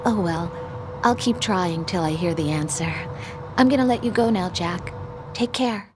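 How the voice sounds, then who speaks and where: An actress playing a young intelligence analyst in a video game, protective and headstrong, speaking in an earnest, assertive but tender way. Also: female voice, fairly low pitch, moderate pace, noisy background, close to an everyday voice. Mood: tender, wistful.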